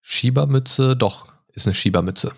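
A man's voice: mid-range voice, a little slow, gravelly, breathy edge. A man hosting a podcast, chatting casually and often adding filler words and tag questions. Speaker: podcast host. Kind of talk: casual chat.

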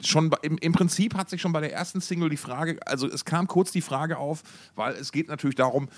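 A man's voice: a little high, fairly quick. A middle-aged man co-hosting a music podcast in casual, unscripted chat, enthusiastic and colloquial, often repeating his words.

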